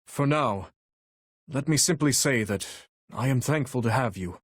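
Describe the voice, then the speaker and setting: A young warrior king for a video game, gentle and earnest yet burdened. His husky voice moves expressively through courtly, measured phrases. The sound is dry and close, without room. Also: mid-range voice, expressive, no room sound. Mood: earnest gratitude. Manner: formal, measured, courtly diction. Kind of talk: video game character voice lines.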